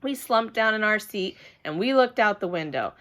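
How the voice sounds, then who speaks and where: A woman reading a children's book aloud in an expressive, animated storytelling style with dramatic emphasis. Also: medium pitch, moderate pace.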